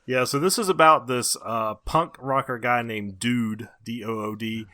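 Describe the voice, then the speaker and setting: A man's voice: mid-range voice, measured pace, bright, forceful tone. An American man co-hosting a podcast, reviewing movies in casual conversation. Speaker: podcast co-host.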